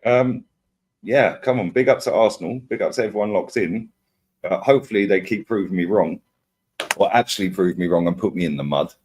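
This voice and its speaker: A man's voice: low voice, a little fast, darkish tone, breathy edge. A young man talking football as a guest, tagging his sentences with "yeah?" and "bro". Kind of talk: casual football chat.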